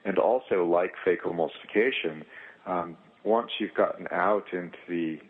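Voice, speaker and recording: A man speaking as a surgeon on a conference call, explaining his clinical experience in halting phrases with pauses. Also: low-pitched, phone-quality sound, background noise. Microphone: telephone. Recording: thin band-limited telephone conference line.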